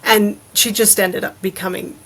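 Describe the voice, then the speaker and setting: A woman's voice: mid-range voice, fairly even intonation, moderate pace, over a noisy crowd, poor audio. A woman talking casually as a podcast guest, informal and given to loose run-on asides. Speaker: interview guest, adventure fiction author.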